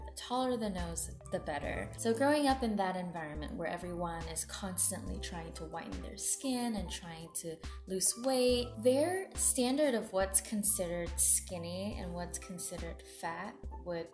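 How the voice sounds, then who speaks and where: A woman's voice: on the low side, lively intonation, moderate pace, few pauses, music in the background. Earnest young woman in an echoey room. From Taiwan. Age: 20s. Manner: earnest, reflective. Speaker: brand founder guest. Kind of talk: personal interview.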